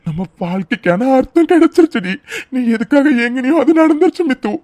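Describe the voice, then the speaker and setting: A woman playing an excitable, doting young husband in an emotional audio drama, gushing and stammering breathlessly. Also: sits high, a little fast, dry recording. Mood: tender, elated. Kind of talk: emotional serial-style audio drama acting.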